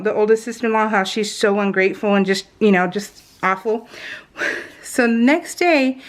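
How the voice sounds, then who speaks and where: A middle-aged American woman telling personal stories in a casual, informal, animated way, slipping into retold dialogue. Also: on the high side. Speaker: craft video host. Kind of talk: casual chatty storytelling.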